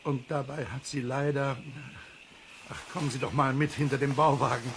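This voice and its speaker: Casting: male actor for sheepish middle-aged neighbour man, gravelly creak, polite and hesitant, kids' audio drama with faint outdoor sounds. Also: medium pitch, fairly even intonation, moderate pace, background noise, natural voice. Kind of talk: German children's radio play acting.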